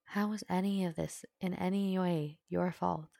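A woman's voice: lowish voice, steady tone, unhurried, creaky, mellow, no room sound, pitched above the performer's own voice. A woman voicing an earnest, stubborn young heroine in a dramatic story, speaking emphatically and with open emotion. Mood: fierce, pleading.

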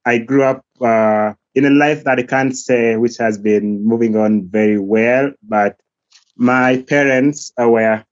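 A young man with a Ugandan accent, speaking earnestly and slowly as an interview guest, often pausing to search for words. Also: mid-range voice, steady tone, dry recording. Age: young adult. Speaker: guest, golf academy founder. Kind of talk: remote interview. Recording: dull lo-fi line, faint music.

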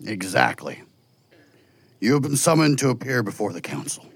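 A man playing a big friendly bear guide in a children's audio drama, theatrical, formal and gently teasing. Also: medium pitch, sing-song, normal speed, creaky, husky, heavily acted. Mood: formal, welcoming.

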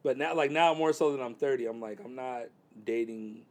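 A man's voice: medium pitch, brisk. A man in his thirties chatting casually about dating, laid-back and rambling with lots of fillers. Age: 30s. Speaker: guest.

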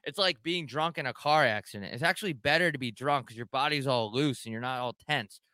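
Young male streamer host, bright crisp voice, dry close mic, profane rambling humor. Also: mid-range voice, fairly quick, no room sound. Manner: profane, joking, loose and rambling. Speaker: show host taking live callers. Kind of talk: casual rambling chat.

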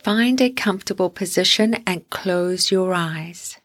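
A woman hosting a guided sleep meditation, speaking gently and soothingly in deliberate phrases with long pauses. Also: lowish voice, faint music behind.

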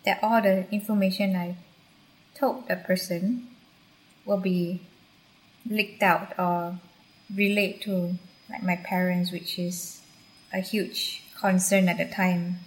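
A woman's voice: lowish voice, level tone, slow pace, pauses often, poor audio. A young woman from Malaysia, candidly and reflectively recounting her university years for a podcast. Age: young adult. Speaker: university graduate guest. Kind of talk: podcast personal account.

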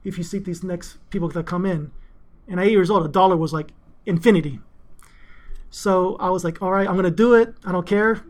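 High-pitched middle-aged man, interview guest, chatty and rambling, lots of ums. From Greece, raised in Houston, Texas. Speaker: interview guest, finance executive. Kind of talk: casual interview storytelling.

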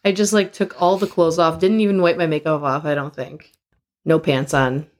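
A young adult woman co-hosting a podcast, chatting frankly and laughing often, her voice hoarse from a tiring weekend. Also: sits low, steady tone, normal speed, darkish tone. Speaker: podcast co-host. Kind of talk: casual chat.

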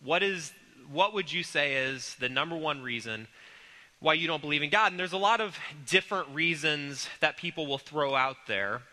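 A man with a cold, sounding congested, teaching a church class in an earnest, halting way with many ums and restarts. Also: a little high, expressive, pushed and bright, big-room sound, poor audio. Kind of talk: recorded church class lecture.

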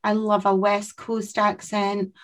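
A woman's voice: mid-range voice, monotone, slow. A woman with a mild northeast Scottish accent, from Aberdeenshire, chatting casually as a show co-host. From Aberdeenshire, Scotland. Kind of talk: casual chat.

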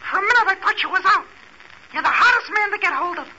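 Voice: extremely high pitch, animated, quick pace. An actor playing Pinky, an eager, dim-witted, slangy punk calling by telephone in a 1940s radio drama. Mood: chatty, eager. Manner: slangy, gushing, naive. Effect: telephone filter. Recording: noisy old radio, tinny phone voice.

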